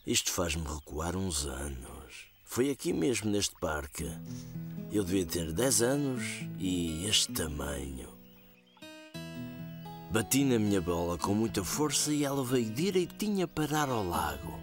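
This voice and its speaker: An actor with a European Portuguese accent voicing a genial older grandfather in a children's cartoon, in a gentle storytelling manner. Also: sits low, big pitch swings, a little slow, over music. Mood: nostalgic. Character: genial old grandfather reminiscing with his grandson.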